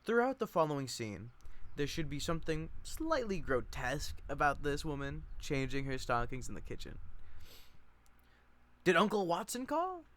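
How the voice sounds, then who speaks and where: A man's voice: mid-range voice, expressive, moderate pace, a bit bright. Man informally reading stage directions aloud. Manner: self-interrupting, joking asides. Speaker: amateur play reader.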